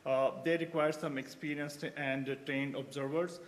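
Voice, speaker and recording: A man's voice: a little high, little pitch movement, measured pace. A man in his thirties from Pakistan, a researcher giving a conference talk, with frequent fillers and restarts. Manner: hesitant, many fillers and restarts. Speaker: researcher presenting at a symposium.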